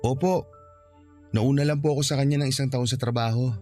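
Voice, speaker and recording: A man's voice: mid-range voice, steady tone, a little fast, darkish tone, faint music behind, close to an everyday voice. A man playing a confident, polite young suitor in a radio drama, speaking easily with a Filipino accent. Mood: polite, deferential. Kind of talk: Tagalog radio drama acting.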